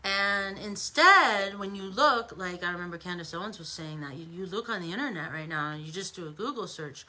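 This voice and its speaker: A woman's voice: fairly low pitch, expressive, fairly quick, hardly any pauses, bright, forceful tone, poor audio. An American woman giving an informal opinion monologue as an online commentator, emphatic and conversational, with rhetorical asides.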